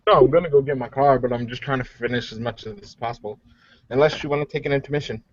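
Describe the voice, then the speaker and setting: A man's voice: medium pitch, racing, no echo, muffled recording. A man playing a tabletop roleplaying game, teasing and swearing in casual banter.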